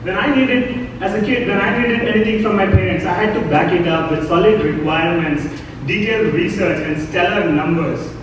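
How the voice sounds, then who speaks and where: A young man from India with an Indian accent, performing a deadpan stand-up comedy set on a club stage, audience laughter behind him. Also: high voice, brisk, distant mic, noisy background. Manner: deadpan storytelling with acted quotes. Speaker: stand-up comic. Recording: echoey club, mic picked up from afar.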